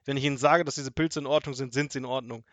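A man voicing a gruff, greedy robber in a storybook, barking orders and snarling threats. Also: medium pitch, steady tone, fast, a bit bright, no echo, close to an everyday voice. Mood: dismissive. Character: gruff, bullying, greedy adult male robber.

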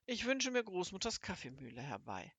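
A woman's voice: on the low side, very animated, brisk, a bit bright, breathy edge, no room sound, full character voice. A woman voicing a self-assured, breezy boy hero in a children's storybook. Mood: confident.

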